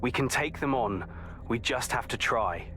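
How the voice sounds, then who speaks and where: A man's voice: medium pitch, expressive, measured pace, bright, forceful tone, soft background music. An actor playing a determined, headstrong young male assassin leaving an earnest briefing in a video game audio log.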